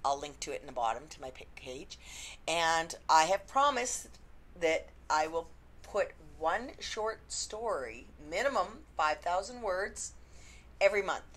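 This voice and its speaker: Chatty, slightly husky woman vlogging. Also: fairly low pitch, lively intonation, unhurried, a bit bright. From Canada. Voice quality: slightly husky after illness. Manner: chatty, rambling, frequent ums. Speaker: indie romance author. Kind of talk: unscripted vlog chat.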